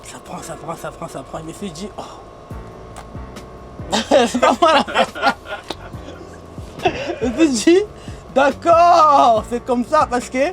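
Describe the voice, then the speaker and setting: A young man with a high, bright voice and a foreign accent talks in street slang, looping his phrases and breaking into laughs. He is not a native French speaker. He sits inside a limousine, with clear music playing behind him. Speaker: interviewee. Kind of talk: casual interview talk.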